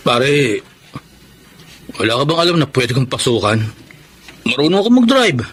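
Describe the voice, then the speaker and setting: A stubborn jobless husband. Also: male voice, mid-range voice, big pitch swings, moderate pace, fairly bright tone, slightly rough. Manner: stubborn, defensive, emotional. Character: proud jobless husband and father. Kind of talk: radio drama acting and narration.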